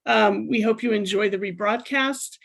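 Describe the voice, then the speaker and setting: A woman's voice: mid-range voice, normal speed, gravelly. A woman co-host casually announcing a podcast's summer rebroadcasts, with the occasional filler. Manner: relaxed, friendly announcements with fillers.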